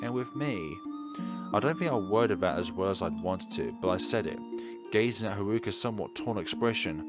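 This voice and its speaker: A man reading a visual novel aloud as expressive narration, adding his own casual commentary. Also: lowish voice, a little fast. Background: soft game music.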